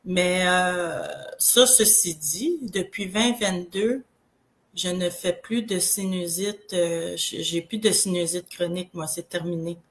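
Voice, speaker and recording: A woman with a Québécois accent and a head cold, chatting casually as a guest and telling her own health story in a rambling, halting way. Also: fairly low pitch. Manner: rambling, halting, full of restarts. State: has a cold, congested. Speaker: guest sharing product testimonial. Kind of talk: casual live chat.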